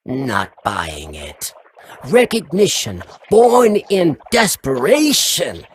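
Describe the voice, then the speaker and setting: A woman's voice: extremely low pitch, huge pitch swings, slow, slight background noise, exaggerated voice. A woman voicing a scornful, taunting parasitic worm creature in a video game, speaking in clipped fragments.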